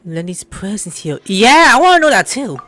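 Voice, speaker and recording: A woman's voice: medium pitch, sing-song, moderate pace, fairly bright tone. A woman doing casual, slangy gameplay commentary, reacting aloud as she plays. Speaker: gamer recording a let's play. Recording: game music behind the voice.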